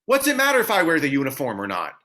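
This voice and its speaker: An actor voices a young policeman on suspension, anxious and defensive, stumbling over his words as he pleads with his former chief. The voice is bright and swings up and down a lot. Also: fairly high pitch, wildly swooping pitch, fast talker, heavily acted. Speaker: actor in a staged reading. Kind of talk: staged play reading.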